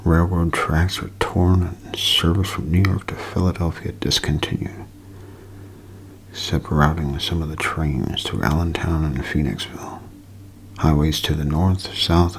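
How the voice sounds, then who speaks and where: Man playing a grave, very deep radio announcer. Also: vocal fry, slight background noise, full character voice, low-quality recording. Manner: solemn, measured, halting phrases. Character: grave male radio news announcer delivering disaster bulletin. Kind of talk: 1930s radio acting.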